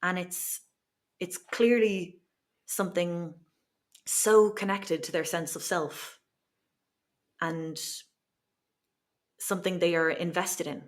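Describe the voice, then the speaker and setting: A young woman speaks in a fairly low, steady voice. She answers a podcast host earnestly and reflectively, posing questions to herself as she works through an idea. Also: slow pace, pauses often. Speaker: guest, Irish language educator. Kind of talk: podcast interview.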